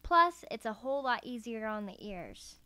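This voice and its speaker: A woman's voice: a little high, sing-song, moderate pace, sharp tone, no echo. A woman narrating a scripted how-to tutorial in a careful, step-by-step way. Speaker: voice acting tutorial presenter. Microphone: USB karaoke microphone.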